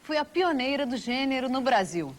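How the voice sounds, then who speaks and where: A woman's voice: high-pitched, sing-song, normal speed. A Brazilian woman reading scripted documentary narration.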